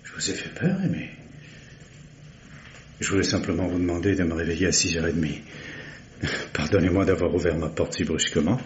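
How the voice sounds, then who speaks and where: A man's voice: sits low, steady tone, brisk, long pauses, close to an everyday voice. A man playing a courteous, composed aristocratic count in a radio play, speaking with refined politeness. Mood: apologetic. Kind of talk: French radio play acting. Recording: muffled, echoey, noisy old radio recording.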